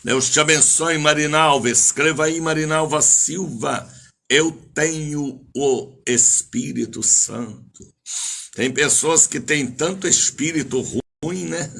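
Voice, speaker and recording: Older guy from preaching on radio, really bright punchy voice, slow declamatory delivery, repeats himself. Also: medium pitch, vocal fry. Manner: declamatory, repeats phrases, drawn-out names. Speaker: evangelical bishop and preacher. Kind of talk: live radio church service, greeting listeners and exhorting.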